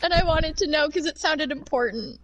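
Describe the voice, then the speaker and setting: A young woman with a tiny, cute high voice, chatting casually and giggling as she mocks herself and swears now and then. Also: animated, moderate pace, a bit bright, dry recording, low-quality recording. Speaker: co-host chatting during a gameplay stream. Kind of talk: casual chat.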